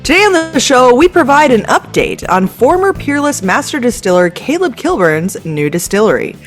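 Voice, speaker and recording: A woman host opening a podcast with a scripted welcome, delivered at a brisk pace. Her pitch moves around a great deal as she reads. Faint music plays behind her. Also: big pitch swings. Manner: bright, fast announcer delivery. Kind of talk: scripted show intro.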